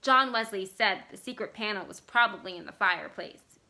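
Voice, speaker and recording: A woman reading literary fiction aloud as expressive scripted narration. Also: mid-range voice, brisk, gravelly. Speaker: library staff story reader.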